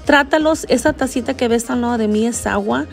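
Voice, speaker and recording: Chatty higher-voiced woman. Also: brisk, vocal fry, soft background music. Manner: chatty, addresses viewers as friends, switches between Spanish and English. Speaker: vlogger. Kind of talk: casual vlog chat to the camera. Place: home kitchen, then inside a car. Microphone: phone camera held at arm's length.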